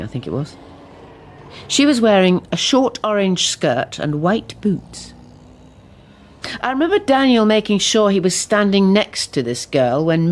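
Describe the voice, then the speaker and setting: An actress playing a reflective woman who looks back ruefully in a radio drama. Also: deep voice. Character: reflective woman looking back ruefully on her troubled marriage. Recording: noticeable background ambience.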